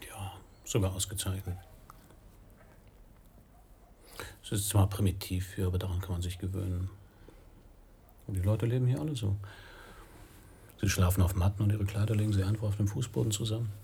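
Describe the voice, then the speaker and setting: An actor playing a frank, easygoing Danish painter in a German radio play, talking in a blunt, matter-of-fact way. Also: male voice, lowish voice, steady tone, fast talker, vocal fry, warm tone, slight background noise. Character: candid Danish painter living simply in Tunisia, frank, easygoing. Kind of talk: German radio play acting, intimate dialogue.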